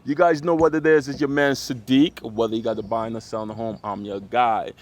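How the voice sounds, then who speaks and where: A man's voice: mid-range voice, fairly even intonation, a little fast. A man hosting a casual real estate interview, rambling a little and backing up his guest with frequent "mm-hmm"s. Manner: constant "mm-hmm" backchannels, rambling. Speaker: podcast host, real estate agent.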